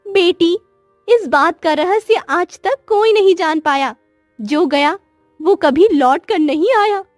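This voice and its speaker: A woman acts out a kindly village auntie in a cartoon folk tale. Her high voice is grave, fearful and pleading, recorded very dry with faint music behind. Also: slow pace, no echo. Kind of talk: Hindi children's cartoon story acting.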